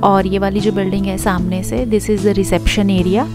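A woman's voice: mid-range voice, little pitch movement, unhurried, music in the background. A young woman, a travel vlogger, giving a chatty hotel tour voice-over and switching between Hindi and English phrases.

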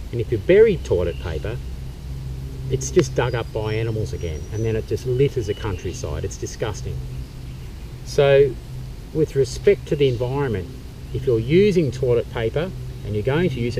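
A middle-aged Australian man with a warm, relaxed voice walks through a bushcraft skill step by step, pausing on fillers as he kneels and works. He is recorded outdoors in a forest by a camera a few metres off. A faint hum of background noise sits under his voice. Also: medium pitch, far from the mic, rough recording. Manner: practical, explaining step by step with fillers. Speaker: bushcraft instructor and tour guide. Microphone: camera mic from a few metres away.

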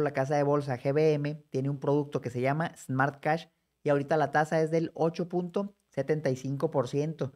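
A man, explaining savings options in a lively, conversational tutorial that speaks straight to the viewer. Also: fairly high pitch. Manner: brisk, conversational, addresses viewer directly. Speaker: personal finance educator. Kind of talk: explanatory screen-share tutorial.

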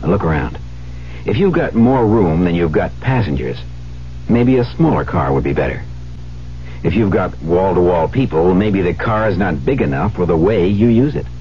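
A male announcer with a very deep, expressive voice reads a conversational commercial. The old radio recording is dull with faint noise. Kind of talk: scripted conversational radio ad read.

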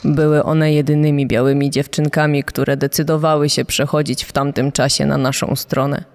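A man's voice: on the high side, flat delivery, normal speed, natural voice. A man reading out the recollections of an elderly woman as a documentary witness. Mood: solemn recollection. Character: elderly woman recalling her segregated childhood. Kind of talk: acted reading of a witness quote. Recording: long reverberant echo.

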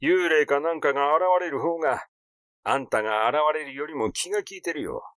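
A man voicing a rash, glib, excitable schemer in an audiobook, talking in a blunt, teasing, effusive way. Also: a little high, a little fast, dry recording, clean studio sound, natural voice. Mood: scornful, blunt. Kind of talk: literary audiobook character dialogue.

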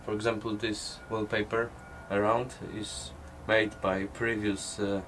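A man's voice: lowish voice, flat delivery, measured pace, a bit bright. A man with a Polish accent, chuckling often as he answers casually in an interview. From Poland. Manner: chuckles often while talking. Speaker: artist and gallery co-runner being interviewed. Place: inside a small old caravan. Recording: faint background ambient noise.

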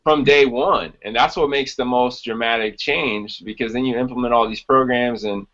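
A man's voice: mid-range voice, fairly even intonation, normal speed, a bit bright. A man in his thirties co-hosting a casual podcast from home, explaining things informally over a video call. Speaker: podcast co-host. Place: small room at home. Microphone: video call on computer. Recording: dull, lo-fi video call audio.

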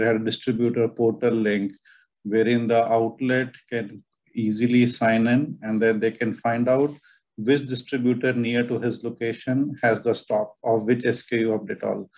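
A man's voice: mid-range voice, flat delivery, a little mellow. Indian guy on a video call panel, lots of uhs and restarts, sound a bit muffled. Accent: Indian English. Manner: halting, repeats words, many "uh" fillers. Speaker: panelist, company IT head. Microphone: webcam on a video call.

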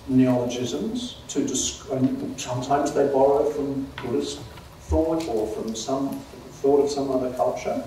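A man's voice: medium pitch, dark tone, slight background noise. An older man explaining academic ideas on a conference panel in a precise, lecturing way with some stumbles. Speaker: keynote speaker. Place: lecture hall, indoors, standing. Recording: echoey hall from a distance.

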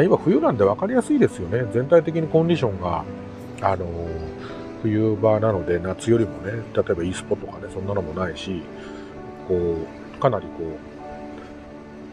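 A middle-aged man from Japan talking casually to camera as a hobbyist vlogger, in an easygoing, rambling, self-deprecating way. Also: fairly low pitch. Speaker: amateur radio hobbyist vlogger. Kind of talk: casual vlog talk to camera.

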